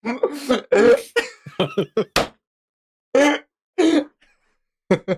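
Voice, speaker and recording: A man's voice: very high-pitched, wildly swooping pitch, extremely slow. A man streaming a casual manga read-along, narrating with amused, sweary commentary. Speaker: streamer reading manga aloud.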